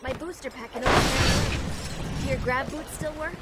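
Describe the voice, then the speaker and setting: An actor playing a small, polite robot sidekick in a video game, earnest and concerned. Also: male voice, extremely high pitch, a little slow. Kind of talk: video game character acting. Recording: loud music under the voice.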